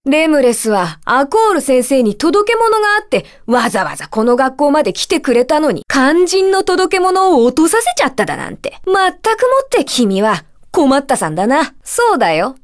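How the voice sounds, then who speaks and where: A smug, bookish schoolboy magician from a video game. The voice sits high and moves in big, animated leaps. The delivery is theatrical and pompous, that of a brainy little snob who is easily flustered. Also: female voice, normal speed, few pauses. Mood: smug, scolding. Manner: pompous, theatrical, clearly declaiming. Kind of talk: Japanese video game character voice clips.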